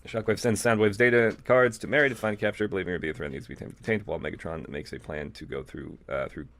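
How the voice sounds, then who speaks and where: A man's voice: low voice, fairly even intonation, quick pace, fairly bright tone. A man reading fan fiction aloud as a co-host, with casual, amused, irreverent asides.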